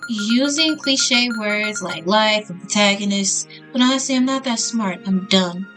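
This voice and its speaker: A woman's voice: mid-range voice, measured pace, music in the background, natural voice. A woman voicing a self-pitying, coy young female murder suspect in a visual novel, plaintive and teasing. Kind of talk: visual novel dialogue read aloud.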